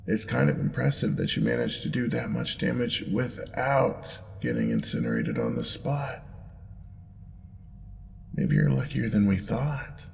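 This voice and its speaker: A man playing a smug, indulgent demon lord in an audio roleplay, with a deep gravelly voice and a playful, purring, drawn-out teasing manner. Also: huge pitch swings, heavily acted. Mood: amused, coaxing. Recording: long reverb, clear background noise.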